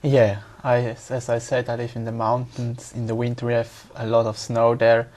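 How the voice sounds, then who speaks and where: A man's voice: medium pitch, monotone, normal speed, darkish tone. A young man from Switzerland, answering an exam speaking test in careful, hesitant English and often repeating words as he searches for them. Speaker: exam candidate.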